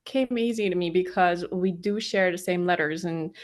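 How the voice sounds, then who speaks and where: A woman from Iran, a native Farsi speaker, guesting on a podcast and talking in a halting way with many fillers. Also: on the low side. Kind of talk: casual conversation.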